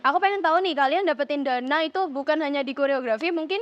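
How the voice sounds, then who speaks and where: A woman's voice: sits high, fast. A teenage young woman, hosting a casual interview in chatty, slangy speech and addressing viewers. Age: teens. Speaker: student podcast host. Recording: dull lo-fi audio.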